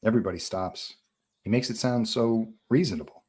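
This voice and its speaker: A man's voice: lowish voice, brisk, a little mellow, husky. A man reading scene directions as the narrator of a live script table read.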